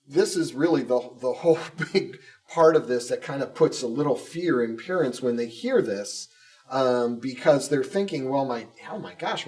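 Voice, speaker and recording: A man's voice: mid-range voice, a little mellow, far from the mic. A man co-hosting a conversational interview show and putting questions to guests. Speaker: podcast co-host, school communications director.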